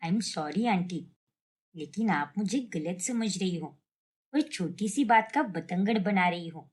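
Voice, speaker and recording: A woman's voice: fairly low pitch, animated, takes it slowly, husky, voice pushed down, full character voice. Woman as frank, outspoken young Komal. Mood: defensive. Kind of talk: cartoon family drama story.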